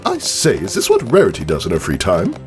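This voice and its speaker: A man's voice: low voice, huge pitch swings, brisk, soft background music, pitched above the performer's own voice, full character voice. A man voicing a male farm pony who compulsively blurts blunt, scolding thoughts in an exasperated ramble. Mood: exasperated gossiping.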